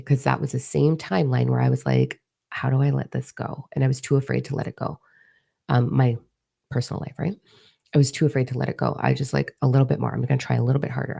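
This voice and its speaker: A young woman with a hoarse, partly lost voice, giving a casual spiritual talk and confiding freely with plenty of swearing. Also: rumbling low, dark tone. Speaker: spiritual teacher.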